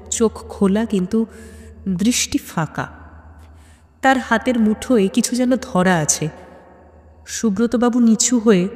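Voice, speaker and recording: A woman delivers dramatic suspense narration for an audio story. She phrases it in measured pieces with tense pauses. Her voice carries a long, hall-like reverb. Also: medium pitch, a little mellow.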